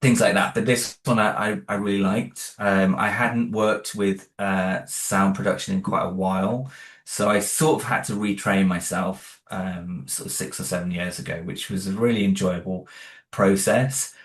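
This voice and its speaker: Low-voiced man, rambling. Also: fairly even intonation, moderate pace. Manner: rambling, many fillers and restarts, self-deprecating. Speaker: interview guest, audio drama creator. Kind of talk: casual interview chat.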